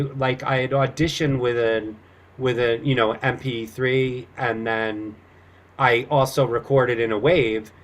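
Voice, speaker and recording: A man, a voice actor guest, chatting casually in a loose, halting, easygoing way. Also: medium pitch. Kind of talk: casual chat.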